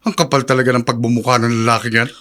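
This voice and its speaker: A man's voice: medium pitch, little pitch movement, very fast, fairly bright tone, lightly acted. A man acting an exasperated, fair-minded father with a Filipino accent in a radio drama. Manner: stern, emphatic. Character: exasperated, fair-minded father scolding his unruly son.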